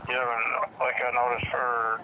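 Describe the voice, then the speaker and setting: A man working as a police dispatcher, answering a phone call in a brisk, matter-of-fact way. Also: medium pitch, monotone. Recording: noisy phone line recording.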